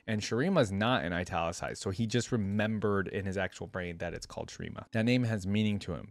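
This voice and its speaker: A man with a fairly low voice reads a dramatic tale aloud in a measured, solemn way. He is a reaction host, so the delivery can slip into a casual register. The sound is dry, with very little room. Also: dry recording. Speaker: reaction video host reading a story aloud. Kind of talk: dramatic story reading with casual asides.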